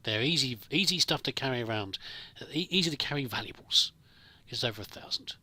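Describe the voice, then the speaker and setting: A man's voice: mid-range voice, expressive, fairly quick, bright, forceful tone, slightly rough. A man giving casual, improvised roleplay commentary over a video game, musing and thinking aloud as he plays. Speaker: gamer, let's play host.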